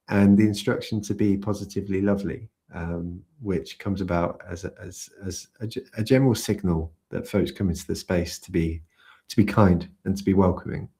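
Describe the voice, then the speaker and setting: Soft-spoken deep-voiced male guest. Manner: soft-spoken, gentle, unhurried. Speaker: community founder, podcast guest. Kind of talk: storytelling interview answer.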